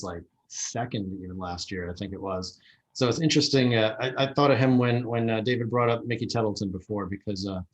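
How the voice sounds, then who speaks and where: A man speaking casually as a guest on a video call, thinking aloud in halting, unfinished phrases. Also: on the low side, rough recording. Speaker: guest speaker, baseball history researcher. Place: remote video meeting. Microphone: video call microphone.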